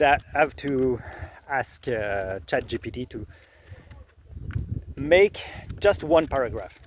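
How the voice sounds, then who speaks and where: Slow, hesitant French-accented man. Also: medium pitch, a little hiss or hum. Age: middle-aged. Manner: hesitant, many fillers and restarts. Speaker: creator sharing his process. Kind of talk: casual explanatory talk.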